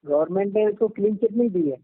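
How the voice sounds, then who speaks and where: A man's voice: sits high, quick pace, no room sound. A man from Madhya Pradesh, talking casually on the phone and rambling, often checking that the listener agrees. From Madhya Pradesh, India. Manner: rambling, often seeking agreement with tag questions. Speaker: ashram devotee. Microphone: telephone. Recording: phone call recording, band-limited.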